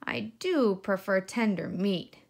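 A woman's voice: medium pitch, lively intonation, slow pace, vocal fry, exaggerated voice. A woman voicing a bossy, hungry, short-tempered male fox villain in a children's storybook, gloating and growling. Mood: pondering. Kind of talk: children's storybook read-aloud.

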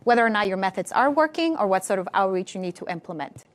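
A woman's voice: mid-range voice, expressive, fast. A young adult woman moderating a studio panel, asking direct, conversational questions. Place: studio stage with curtain backdrop.

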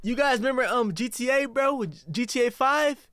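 A young man from the US East Coast reacting to a music video on his home stream, excitable and rambling, saying "bro" after nearly every phrase. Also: very high voice, moderate pace, clean tone, no echo, clean studio sound. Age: young adult. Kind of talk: casual reaction commentary. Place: home streaming room, indoors. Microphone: headset with desk mic close up. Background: faint song playing.

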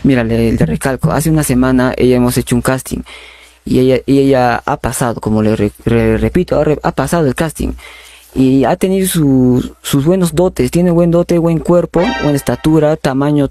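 A young man making a prank phone call as a polite, insistent recruiter, halting and repeating his words. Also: medium pitch, dark tone, no echo. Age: young adult. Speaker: prank caller. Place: small bedroom studio indoors. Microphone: condenser microphone on a boom arm, close.

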